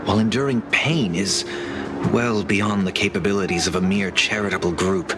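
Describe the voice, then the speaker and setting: A man voicing a composed, mysterious young man who senses minds, speaking in a measured, grave way in a game. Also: fairly low pitch, noisy background, lightly acted. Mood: calm. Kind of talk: game voice acting. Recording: game audio with loud music.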